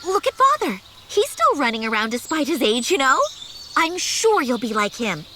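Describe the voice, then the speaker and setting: A woman's voice: high-pitched, moderate pace, a little hiss or hum, full character voice. A woman playing a headstrong young noble girl, stubborn and devoted, in an anime-style game. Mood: earnest, pleading. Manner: animated, stubborn, emphatic.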